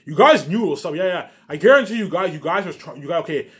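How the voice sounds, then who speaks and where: A man's voice: on the high side, expressive, quick pace, a bit bright. A man giving a rambling, unscripted vlog monologue, emphatic and repetitive, circling back over his phrases and swearing at times. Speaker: vlogger.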